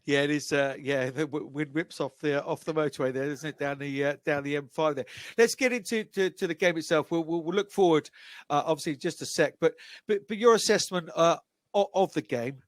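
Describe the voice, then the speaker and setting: A man's voice: on the high side, brisk, no echo, clean studio sound. A man hosting a rugby podcast, chatting casually and often repeating words as he looks for his next question. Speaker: podcast host. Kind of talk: casual interview.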